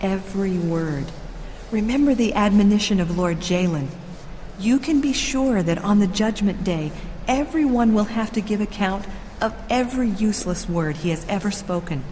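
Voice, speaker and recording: A woman playing a serene, solemn royal ruler of a heavenly city in an audio drama, speaking in a measured, formal, instructive way. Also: medium pitch, expressive, a little mellow, slight background noise, natural voice. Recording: long hall reverb with music behind.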